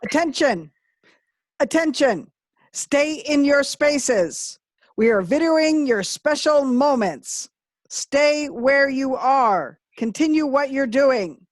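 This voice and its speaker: An actress playing a controlling official announcer in a dystopian stage drama, speaking formally and with measured command. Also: female voice, high-pitched, expressive, dry recording. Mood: controlling, composed. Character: controlling official announcer of an underground surveillance regime. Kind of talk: stage-play public announcement.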